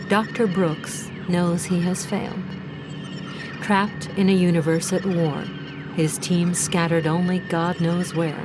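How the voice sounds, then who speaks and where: A woman giving dramatic scripted narration as the narrator of an audio drama, with music behind her. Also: fairly low pitch.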